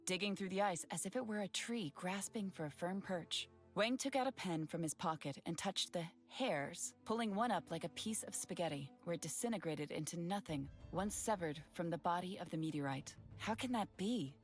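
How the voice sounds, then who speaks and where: A woman giving scripted story narration in a steady, even reading voice. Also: on the low side, normal speed, hardly any pauses, faint music behind.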